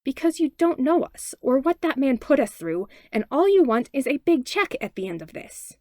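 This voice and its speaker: A woman's voice: high-pitched, normal speed, no echo, studio quality, pitched above the performer's own voice. A woman voicing a traumatized, defiant teenage boy in a fan fiction audiobook, bitter and indignant. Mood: furious indignation. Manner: deadpan turning to indignant growl.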